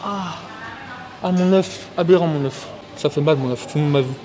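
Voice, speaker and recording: A man's voice: fairly high pitch, noisy background. A young man vlogging his gym workout, chatting casually and slangily straight to the camera, sometimes winded between sets. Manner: slangy, chatty, fast, with frequent filler tags. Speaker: fitness vlogger.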